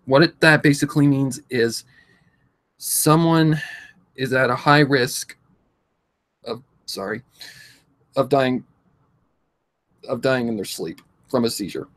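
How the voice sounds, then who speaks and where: A man of twenty-two speaking emotionally to his followers in a halting, repetitive, pleading way, distressed and close to tears, with slightly affected speech. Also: mid-range voice, fairly bright tone. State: distressed, tearful. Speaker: vlogger. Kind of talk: emotional confessional talk to followers.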